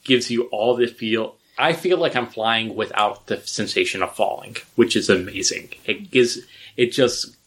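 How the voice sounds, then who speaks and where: A man co-hosting a podcast, chatting casually with dry, quick remarks. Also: fairly low pitch, a bit bright. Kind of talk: casual chat.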